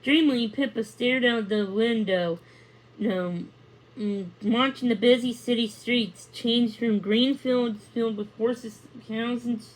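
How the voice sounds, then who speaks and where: A woman narrating a children's book aloud, reading haltingly with stumbles and repeated words. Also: a little high, poor audio.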